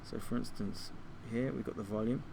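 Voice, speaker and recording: A man giving an informal software tutorial, explaining things step by step like a teacher. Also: mid-range voice, steady tone, normal speed, creaky, noisy background. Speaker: music technology teacher.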